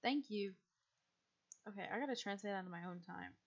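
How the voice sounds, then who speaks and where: A young woman from the United States, chatting casually and rambling as she streams a game, with a husky, quiet voice and frequent laughs. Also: medium pitch, fairly quick, highs cut off.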